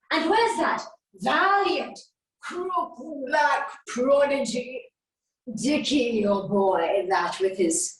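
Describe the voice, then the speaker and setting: High-pitched, vengeful warrior queen. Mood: mocking. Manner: theatrical, taunting, drawn-out delivery with swings. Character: vengeful, mocking warrior queen taunting a captive. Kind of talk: classical Shakespearean stage monologue. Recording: echoey room, distant microphone.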